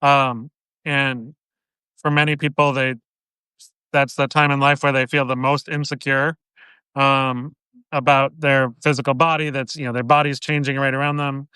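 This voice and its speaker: A man being interviewed as an author, explaining in a measured way with "um" pauses. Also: mid-range voice, flat delivery, sharp tone, clean tone, no echo, rough recording. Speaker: guest author. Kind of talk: interview answers.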